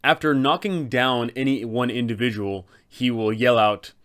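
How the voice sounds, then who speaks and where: Man narrating tabletop villain guide, mid-pitched. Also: normal speed, a bit bright, smooth. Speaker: game master guide, video host. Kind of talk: scripted narration advising tabletop game masters.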